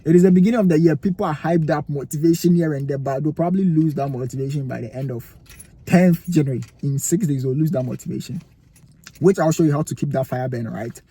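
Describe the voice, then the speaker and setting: Husky Ghanaian man preaching to camera. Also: a little high, fast talker, dark tone. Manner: emphatic, preachy, asks rhetorical questions. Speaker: vlogger giving life advice. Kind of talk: motivational talk to camera. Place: cold room indoors, near a heater.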